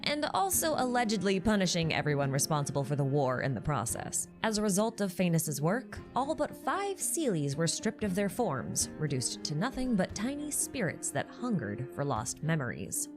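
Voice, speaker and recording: A woman's voice: lowish voice, sing-song, moderate pace, few pauses, over music. A woman narrating a scripted explainer with casual asides, in an articulate storytelling manner. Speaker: lore video narrator.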